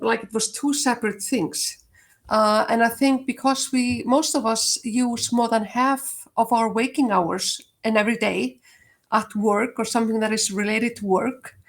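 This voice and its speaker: Older Icelandic woman, higher voice. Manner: reflective, laughs often, many fillers. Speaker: guest, HR leader. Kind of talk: casual interview answer.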